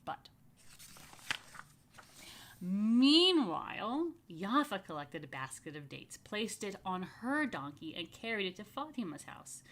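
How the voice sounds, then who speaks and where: A woman reading a picture book to young families as a rabbi, stretching key phrases expressively and slipping into chatty asides. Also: medium pitch. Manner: expressive, slow emphatic storytelling with chatty murmured asides. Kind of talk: children's story read-aloud with casual asides.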